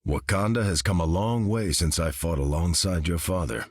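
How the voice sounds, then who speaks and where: A man playing a gruff, steadfast veteran soldier in a video game, with an American accent and a tough, plain-spoken manner. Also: sits low, moderate pace, no echo, studio quality. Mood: gruff, sincere. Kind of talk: video game character voice acting.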